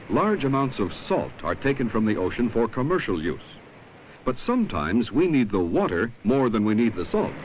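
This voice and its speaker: A man reading scripted 1970s documentary narration in a measured, instructive way, on an old film soundtrack. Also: mid-range voice, a little hiss or hum, low-quality recording.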